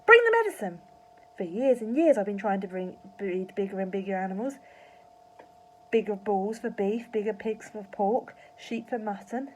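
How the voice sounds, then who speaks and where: A woman voicing an excitable little farmer who exclaims loudly. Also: medium pitch, normal speed, a little hiss or hum, lightly acted, low-quality recording. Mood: eager. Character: small excitable farmer father.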